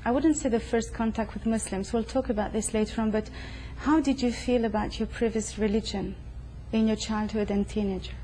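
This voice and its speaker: A woman's voice: a little high, fairly even intonation, a little fast, mellow, a little hiss or hum, poor audio. A woman hosting an interview show, putting formal, welcoming questions to a guest at her home. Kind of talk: hosted interview.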